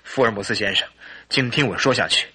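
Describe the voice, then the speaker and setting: An actor playing a suspicious, anguished husband in a radio drama, with a husky, earnest and theatrical delivery. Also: male voice, fairly low pitch, a little fast, pushed and bright. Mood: troubled. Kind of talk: Mandarin radio drama acting and storytelling.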